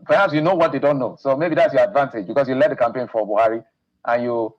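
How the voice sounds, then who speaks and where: A man's voice: medium pitch, fast talker. A man interviewing a politician on TV, polite but pressing to wrap up. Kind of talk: broadcast interview. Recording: dull dry broadcast audio.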